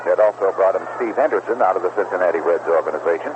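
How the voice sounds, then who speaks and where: A man's voice: low-pitched, quick pace. An American man calling baseball play-by-play as a radio sports commentator. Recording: noisy, muffled 1970s AM radio broadcast. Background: heavy radio noise and ambience.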